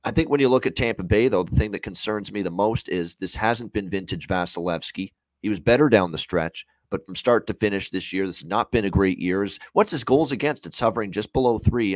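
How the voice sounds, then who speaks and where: A man's voice: on the low side, no room sound. A man in his thirties giving opinionated, emphatic hockey analysis as a co-host, speaking over a video call from a room at home. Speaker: sports betting co-host and analyst.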